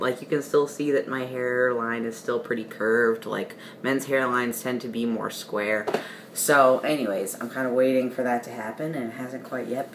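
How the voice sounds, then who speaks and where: Young man, casual vlog, rambling, mid pitch, roomy room echo. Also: fairly bright tone. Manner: rambling, many ums and restarts, light laughs. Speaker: vlogger. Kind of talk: casual video diary. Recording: roomy echo with faint background hiss.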